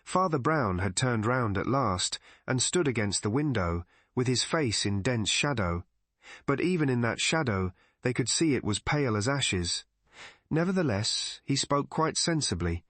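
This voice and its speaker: A man's voice: medium pitch, animated, measured pace, fairly bright tone, no room sound. Man narrating a classic detective story. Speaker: audiobook narrator.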